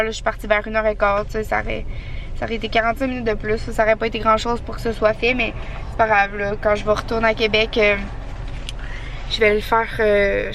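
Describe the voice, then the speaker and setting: A woman's voice: a little high, fairly bright tone, slight background noise. A woman with a Québécois French accent, chatting casually in a rambling vlog, sounding congested with a sore throat. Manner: chatty, rambling with many fillers. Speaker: vlogger. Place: car and outdoors while traveling.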